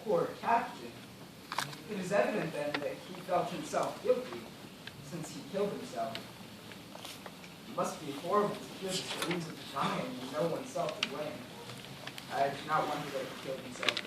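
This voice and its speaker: A man acting a shipwrecked gentleman in a stage play, resigned and bitter, speaking in a formal, measured, theatrical way. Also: a little high, close to an everyday voice. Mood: somber. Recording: distant, echoey, noisy live theatre recording.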